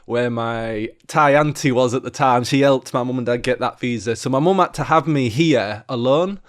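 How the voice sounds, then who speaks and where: A man's voice: medium pitch, moderate pace. A man, a podcast guest telling his life story in loose, candid, casual talk. He swears freely and fills his speech with "you know" and "like". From England.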